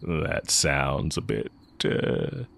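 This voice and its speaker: Wicked sorcery professor male actor: extremely low, slow, gravelly drawl, smug and sardonic, for romance audio roleplay. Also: big pitch swings, fairly bright tone, exaggerated voice. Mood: sardonic, scornful. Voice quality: very deep, creaky. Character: evil male magic teacher, heretic, smug, amused, fond of former student.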